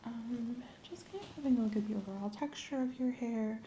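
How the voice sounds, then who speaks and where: A soft-spoken woman, often whispering, doing an ASMR medical exam roleplay in a gentle, clinical manner. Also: on the high side, brisk, slight background noise. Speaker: ASMR performer playing a medical examiner.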